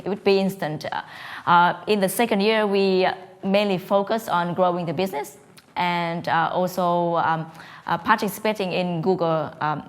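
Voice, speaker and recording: A woman from Vietnam with a Vietnamese accent, a startup co-founder speaking on a panel, hesitant with many fillers and restarts. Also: fairly low pitch. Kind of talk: prepared panel talk.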